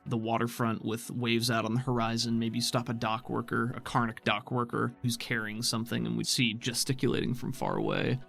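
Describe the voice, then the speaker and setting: A man with a creaky, gravelly voice runs a tabletop game. He explains rules and sets scenes conversationally at a medium pitch, with light music underneath. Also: fairly bright tone. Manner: conversational, explanatory, casual swearing. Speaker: game master.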